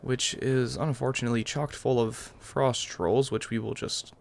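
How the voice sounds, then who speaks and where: A man's voice: mid-range voice, fairly even intonation, normal speed, vocal fry. A young man giving casual let's-play commentary as a gaming video host, musing aloud in a rambling way over game music. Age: young adult.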